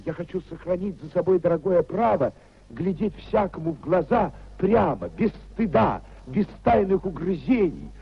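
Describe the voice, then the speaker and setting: Casting: fervent young idealist, high husky voice, grand stage declamation, muffled vintage radio drama recording. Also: male voice, exaggerated voice. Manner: declamatory, impassioned oratory. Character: idealistic young nephew, proud, fervently honest and anguished. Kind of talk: 1950s Soviet radio theatre declamation.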